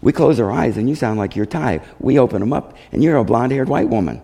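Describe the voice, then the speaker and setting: A man with a gravelly, mellow voice tells missionary stories as a testimony. He is animated in how he relays who said what. The voice rings through a reverberant hall. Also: medium pitch, quick pace. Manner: animated, acts out dialogue. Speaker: missionary speaker. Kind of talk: testimony storytelling to a congregation.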